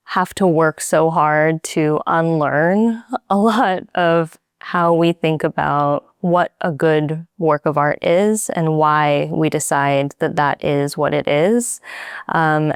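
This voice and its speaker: A woman interviewer speaking in a reflective, measured way as she sets up her questions. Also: sits low, clean tone, dry recording, studio quality. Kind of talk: conversational interview.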